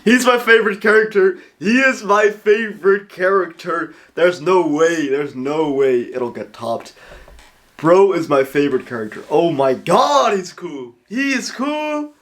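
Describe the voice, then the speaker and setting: Excitable young man. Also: sits high, a little slow. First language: Dutch. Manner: excitable, swears, lots of fillers. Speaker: reaction video host. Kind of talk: casual reaction commentary.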